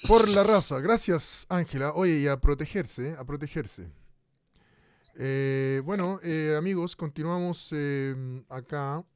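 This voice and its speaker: A man's voice: on the high side, a little slow, smooth, no room sound, lightly acted. A man playing a radio host in a crisis broadcast, talking with many fillers. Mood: calm. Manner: hesitant, full of fillers. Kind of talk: radio drama acting a live talk show.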